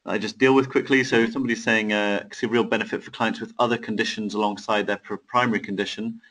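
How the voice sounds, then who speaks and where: A man with a lowish voice hosts an online training webinar. He talks in a halting, repeating way, restarting words as he thinks aloud. The delivery is loose and conversational. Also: steady tone, a bit bright. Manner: halting, repeats words, reads out viewer comments. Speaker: webinar host. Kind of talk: live product webinar.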